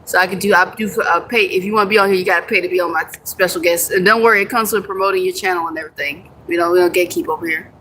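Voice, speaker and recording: Young American woman, bright forceful voice, casual livestream chatter, faint background hum. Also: lowish voice, steady tone, very fast, hardly any pauses, low-quality recording. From United States. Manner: chatty, answers comments, laughs easily, some swearing. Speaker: livestream host. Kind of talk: casual live chat with viewers.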